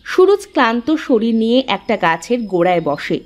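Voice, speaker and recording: A woman's voice: fairly high pitch, sing-song, fairly quick. A woman reading scripted narration for a cartoon story.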